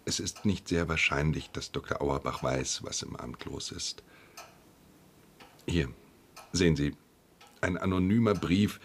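An actor playing a firm, formal office official in a German radio play. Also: male voice, a bass voice, unhurried, pauses often, a little hiss or hum. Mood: grave, concerned. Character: firm, indignant male compensation office official.

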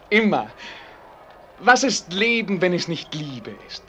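An actor playing an earnest, romantic young prince in a radio drama, speaking in a lofty, fervent way. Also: male voice, high-pitched, sing-song, slow pace, pushed and bright, slight background noise, exaggerated voice. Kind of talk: German radio drama acting.

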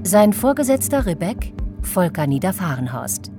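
A woman reading scripted radio play credits as announcer, measured, formal and neutral. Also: fairly low pitch, big pitch swings, over music.

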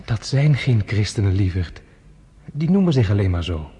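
An actor playing a kind foster father, a judge, speaking softly and reassuringly in a radio play. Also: on the low side, very animated, normal speed, slightly rough, in a large hall, heavily acted. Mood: gentle. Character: kind older male Danish judge, gentle foster father.

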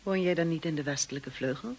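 A woman playing a blunt, upper-class sister-in-law in a radio drama, candid, brisk and probing. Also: low-pitched, darkish tone, breathy edge. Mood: disapproving.

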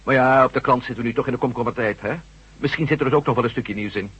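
An actor playing a wry, easygoing newspaper reporter in a radio drama, with a breezy, informal manner. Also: male voice, medium pitch, rapid-fire, a bit bright, breathy edge, muffled recording.

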